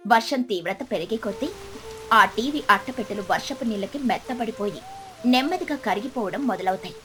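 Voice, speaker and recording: Bright, high-pitched woman storyteller. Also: animated, a little fast, faint music behind. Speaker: story narrator. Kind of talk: scripted children's story narration.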